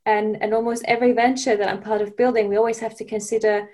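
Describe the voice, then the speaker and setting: A woman's voice: medium pitch, little pitch movement, quick pace, a little mellow. A woman, a podcast guest speaking thoughtfully, with frequent fillers and restarts. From Finland. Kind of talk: conversational interview.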